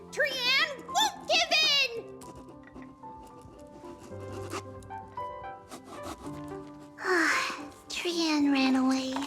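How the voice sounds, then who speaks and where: Mischievous little girl for an anime game: tiny high voice, unhurried delivery, cutesy and theatrical. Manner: cutesy, theatrical, refers to self by name. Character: playful, mischievous little girl who speaks in third person. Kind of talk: anime-style video game voice acting.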